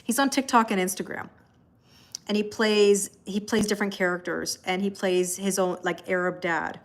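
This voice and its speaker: A woman's voice: medium pitch, fairly even intonation, moderate pace. A woman from Canada hosting a tarot livestream, chatting casually and rambling as she answers viewers by name, often laughing. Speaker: tarot reader and livestream host.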